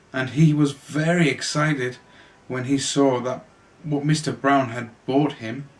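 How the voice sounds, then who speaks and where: A man narrating a children's storybook aloud as an amateur reader, now and then stumbling and correcting himself. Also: mid-range voice. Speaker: amateur storybook reader, a father reading for his family. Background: music.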